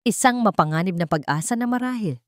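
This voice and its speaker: A woman's voice: mid-range voice, lively intonation, quick pace, dry recording, clean studio sound. A woman storyteller reading a scripted romance story in a dramatic narrating style.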